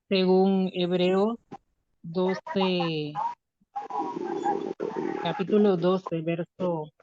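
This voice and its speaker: Slow, low-voiced woman preacher, muffled audio. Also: noisy background. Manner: halting, pausing between short phrases. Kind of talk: sermon with scripture reading.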